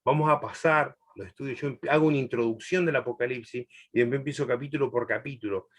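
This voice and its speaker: A middle-aged man from Chile, raised in Argentina, teaching a Bible class in a chatty, laughing way. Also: medium pitch. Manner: warm, chatty, laughs often, long pauses. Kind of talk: answering a student in a live class.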